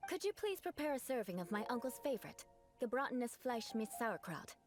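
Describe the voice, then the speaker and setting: Haughty, expressive young lady knight. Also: on the high side, a little fast, music in the background. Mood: composed. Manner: formal, haughty, precise. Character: proud, haughty young female knight, formal and teasingly vengeful. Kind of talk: scripted video game voice acting.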